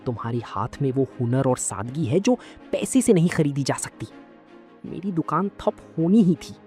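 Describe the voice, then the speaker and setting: A woman voicing a proud male village shopkeeper with intense feeling, in an animated story. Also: sits low, normal speed, pitched below the performer's own voice. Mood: remorseful. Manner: emotional, intense. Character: proud, jealous village shopkeeper man, bitter then remorseful. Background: background music.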